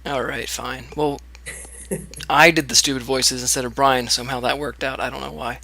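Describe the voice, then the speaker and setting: A man's voice: mid-range voice, fairly even intonation, moderate pace, gravelly, pushed and bright, dry recording. A man co-hosting a casual podcast chat, upbeat and teasing. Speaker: co-host.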